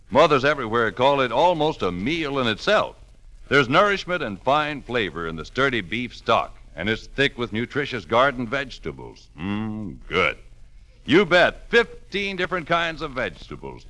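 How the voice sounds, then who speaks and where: A man's voice: medium pitch, bright, forceful tone. An American man reading a scripted soup-style radio commercial as a 1940s announcer, with hearty, emphatic salesmanship. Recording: 1940s radio broadcast recording.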